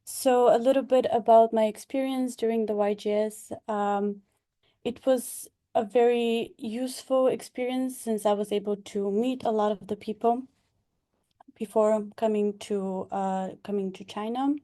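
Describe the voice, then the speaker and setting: Young woman, monotone delivery, mellow tone, hesitant with lots of fillers, video call audio. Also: fairly high pitch, unhurried. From Bulgaria. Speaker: past delegate and graduate scholar. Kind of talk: online information session talk.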